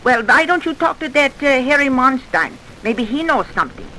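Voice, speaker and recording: A woman's voice: high-pitched, big pitch swings, normal speed, pushed and bright, exaggerated voice, low-quality recording. A woman playing a blunt, suspicious neighbor in a vintage radio crime drama. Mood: suspicious, insistent. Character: suspicious, outspoken female neighbor in a crime case. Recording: hissy old radio recording.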